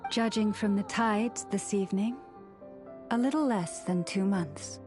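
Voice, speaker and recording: A woman playing a young moon priestess in a video game, solemn, gentle and earnest, speaking in a measured, formal, reverent way. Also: mid-range voice, over music, close to an everyday voice. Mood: apologetic, earnest. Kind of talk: video game cutscene acting.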